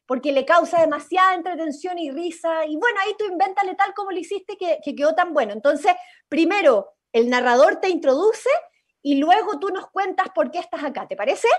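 Chilean lady leading a radio play workshop on a video call, high voice, upbeat and encouraging. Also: expressive, fairly quick. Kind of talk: directing an interactive workshop. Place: indoors at home, video call. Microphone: computer mic on video call.

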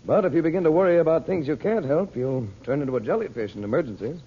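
An actor playing a calm, firm, reassuring adventurer uncle in an old radio drama. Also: male voice, on the high side, quick pace, slightly rough. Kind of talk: 1930s-40s radio acting. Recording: muffled, hissy old radio recording.

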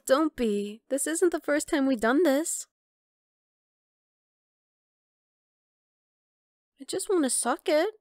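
Woman acting a high-voiced, teasing vampire girl. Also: darkish tone, no room sound, studio quality. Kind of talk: ASMR roleplay.

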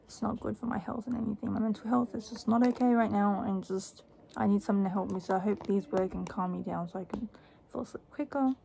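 A woman's voice: mid-range voice, steady tone, fast talker, creaky, warm tone. A young adult woman, chatting casually and offhandedly as a vlogger in a small room, rambling a little. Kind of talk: casual haul vlog chat. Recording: faint background noise.

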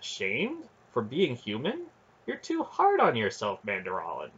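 A man's voice: medium pitch, huge pitch swings, measured pace, fairly bright tone, slightly rough, dull audio, full character voice. A man voicing an earnest, worried young boy with a raised, youthful, questioning delivery.

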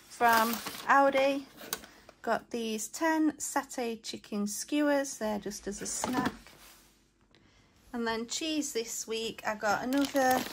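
A woman's voice: fairly high pitch, slight background noise. A young woman chatting through a grocery haul in her home, listing items in a matter-of-fact way. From England. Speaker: family vlogger. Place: living room at home, then kitchen counter.

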